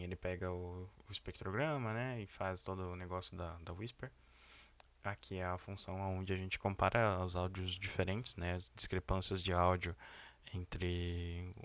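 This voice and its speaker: A young man presenting a student research project, walking through his code and report in a casual, hesitant way full of fillers and restarts. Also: deep voice. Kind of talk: casual screen-share walkthrough.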